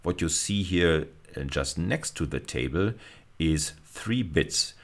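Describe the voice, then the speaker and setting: Very deep, creaky-voiced man lecturing. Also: lively intonation, takes it slowly. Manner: methodical, step-by-step explaining with emphatic repetition. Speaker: university lecturer. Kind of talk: explanatory recorded slide lecture.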